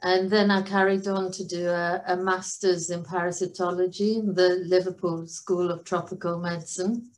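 A woman's voice: on the low side, normal speed, hardly any pauses, rough recording. A middle-aged woman with a Welsh accent, a professor giving a chatty presentation over a video call. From Wales. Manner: chatty, even-toned, with fillers.

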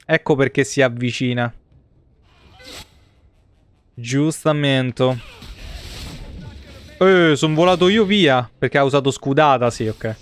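A man's voice: mid-range voice, slow, pauses often, clear, resonant tone. A man, giving casual, sweary, joking live commentary on a video game. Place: indoor room, streaming setup.

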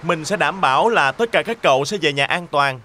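A man's voice: on the high side, lively intonation, fairly quick, sharp tone. A man playing a loyal, wistful boy adventurer in a cartoon fairy tale dub. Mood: wistful, sincere.

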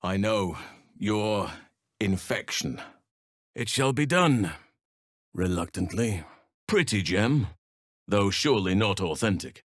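A man voicing a wise, gentle-hearted druid healer in a video game, speaking in measured, formal, archaic phrasing. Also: fairly low pitch, expressive, dry recording, clean studio sound. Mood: solemn, measured.

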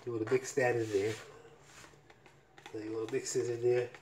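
Man, middle-aged, casual home cook, halting and repetitive, roomy echo, noisy clatter of pots and pans. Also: mid-range voice, little pitch movement, darkish tone. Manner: halting, repeats himself as he works. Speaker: home cook hosting a cooking video. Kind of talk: casual cooking demonstration, talking while working. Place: small home kitchen, indoors. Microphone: camera or phone mic at varying distance.

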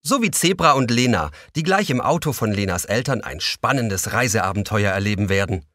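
Low, very animated male children's narrator. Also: fairly quick, no echo, clean studio sound. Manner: expressive, addresses children directly. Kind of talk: scripted children's audio play narration.

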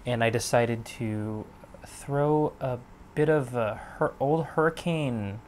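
A young man in his twenties, losing his voice, rambling in a relaxed way as host of his own podcast from his bed at home. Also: mid-range voice, slow pace, a little hiss or hum. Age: 20s. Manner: relaxed, meandering, many fillers. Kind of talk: casual solo podcast monologue.

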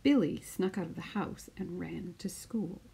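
Gravelly-edged middle-aged woman reading to children. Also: lowish voice, unhurried, dark tone. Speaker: teacher reading a picture book aloud to pupils. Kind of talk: children's storybook read-aloud.

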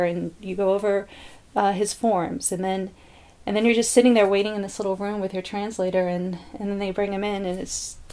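A woman in her thirties recounts her experience in a documentary interview. Her voice sits at an average height and varies very little in pitch. She speaks reflectively, as in personal recollection. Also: darkish tone. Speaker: adoptive parent, interviewee. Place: living room, indoors.

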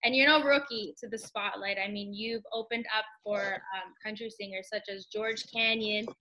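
A woman's voice: mid-range voice, fairly even intonation, normal speed, sharp tone, rough recording. A young woman in her twenties hosting a casual interview over a video call, chatty and upbeat, with plenty of fillers. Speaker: host. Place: small room at home.

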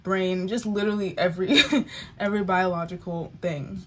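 A young woman vlogging in a casual, excitable, chatty way, sometimes dropping into whispers. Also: on the low side, moderate pace. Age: young adult. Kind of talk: casual vlog chat.

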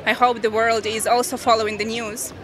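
A woman's voice: on the high side, little pitch movement, brisk, a bit bright. A young woman from Ukraine answering a casual street interview outdoors, choosing her words carefully. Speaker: interviewed visitor. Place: outdoor exhibition walkway. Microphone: handheld reporter microphone.

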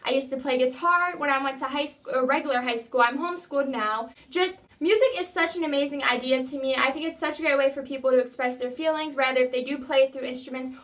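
A woman's voice: high voice, fast talker, few pauses, a bit bright. A young woman in her teens or early twenties talking casually and earnestly to a camera in her bedroom, introducing herself as an amateur singer. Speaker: amateur singer making an audition video. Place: small bedroom indoors.

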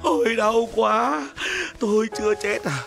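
Sneaky cartoon cat bad guy, super dramatic n whiny, slow drawn out words, loud music under it. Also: high-pitched, vocal fry, full character voice. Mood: panicked wailing. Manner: whining theatrically, then bullying and gloating. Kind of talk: animated children's cartoon acting.

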